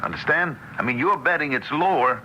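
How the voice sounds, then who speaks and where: An actor playing a gruff, worldly-wise American husband, brusque and anxious, in mid-century radio drama style. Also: male voice, on the high side, expressive, a little fast, bright, forceful tone, poor audio. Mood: brisk, instructive. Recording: hissy old radio play recording.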